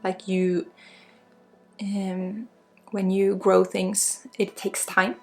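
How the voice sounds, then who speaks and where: A woman from Sweden with a Swedish accent guides a spiritual healing ASMR session in a soft, breathy, informal and halting way, often dropping toward a whisper. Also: medium pitch, little pitch movement. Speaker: spiritual guide, energy healer. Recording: soft music under the voice. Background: soft music.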